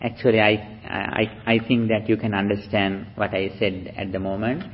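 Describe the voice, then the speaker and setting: A man, a Buddhist monk from Sri Lanka with a Sri Lankan accent, giving a slow, halting dharma talk with pauses for an interpreter. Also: fairly low pitch, little pitch movement. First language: Sinhala. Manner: slow, halting speech with many fillers. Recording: dull lo-fi recording.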